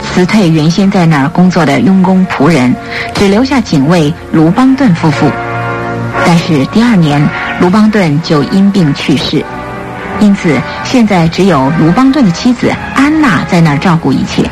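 A woman narrates a radio drama in a fairly low voice. Her delivery is formal, measured storytelling from a script, set over clearly audible background music. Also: expressive.

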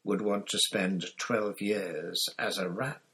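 A calm, polite teacher, read by a man in a storybook. He speaks evenly and courteously, with a low, unhurried tone. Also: exaggerated voice. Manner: even, courteous.

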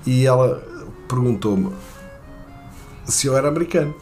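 A man from Portugal with a European Portuguese accent, telling a personal anecdote in a halting way, with many fillers and restarts. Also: medium pitch, lively intonation, darkish tone, soft background music.

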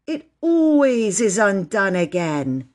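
Woman voicing an animated, impatient schoolboy. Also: fairly high pitch, normal speed, voice pushed up. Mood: scornful. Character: proud, offhand, impatient schoolboy brother. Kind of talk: children's storybook reading.

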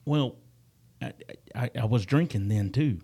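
A man's voice: mid-range voice, dark tone, breathy edge. A man talking as a guest musician in a casual podcast chat, earnest and often stumbling over his words. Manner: halting, repeats words, earnest.

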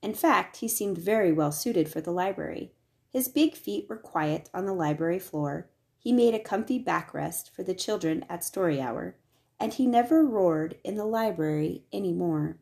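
A woman with a slightly low voice narrates a picture book for children. Her reading is expressive and clearly scripted, shaped as a story read aloud. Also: moderate pace. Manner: expressive, acts out the dialogue. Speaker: storybook reader.

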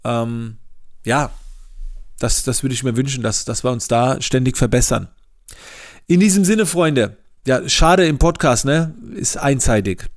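A man's voice: mid-range voice, unhurried. A man with a regional German dialect hosting a solo podcast, talking casually with many hesitations and repeated words. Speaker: podcast host.

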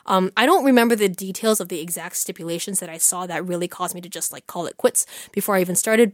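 Chatty low-voiced woman teacher, dry sound. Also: dry recording. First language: English. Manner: chatty, many "like" and "you know" fillers, candid. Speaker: Chinese language teacher, podcast guest. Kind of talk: casual conversational storytelling.

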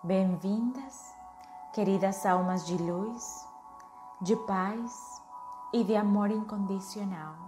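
A woman leading a guided meditation in a soothing, unhurried manner, gently giving instructions. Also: medium pitch. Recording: echoey, with music underneath. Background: music.

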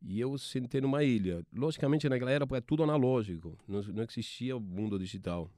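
A man in his forties from Argentina, speaking with an Argentine Spanish accent, a TV sports producer telling his career story in halting speech with many restarts. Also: medium pitch, mellow, no echo, studio quality. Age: 40s.

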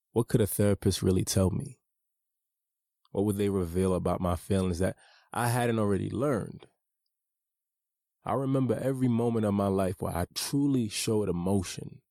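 Deep gravelly man reading his memoir. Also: mellow, dry recording, clean studio sound. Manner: measured, reflective, earnest. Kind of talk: audiobook memoir narration.

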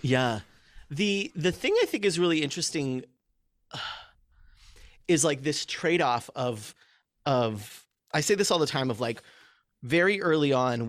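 A man, a public speaking coach, explaining ideas in an energetic, casual podcast conversation full of filler words. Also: mid-range voice, no room sound, clean studio sound.

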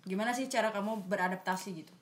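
A woman's voice: medium pitch, very fast, roomy sound. A young woman from Magelang hosting a casual podcast chat, encouraging and asking the others questions. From Magelang, Central Java. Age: young adult. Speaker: podcast host, university student.

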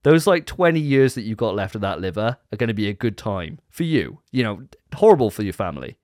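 A man's voice: lowish voice, big pitch swings, brisk, a little mellow, no room sound, clean studio sound. A man hosting a casual podcast, teasing his co-hosts in a jokey way. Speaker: podcast host.

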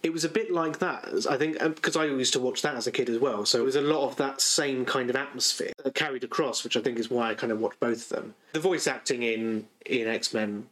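A man's voice: medium pitch, a little fast, hardly any pauses, a bit bright. A man co-hosting a casual podcast, giving firm opinions and explaining things at length. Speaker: podcast co-host. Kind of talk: casual chat about cartoons and comics.

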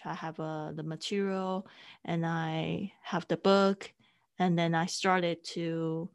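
Slow, gravelly-voiced woman, Mandarin native. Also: fairly low pitch. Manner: unhurried, with frequent fillers and restarts. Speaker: guest, online teacher and podcaster. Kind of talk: casual interview conversation.

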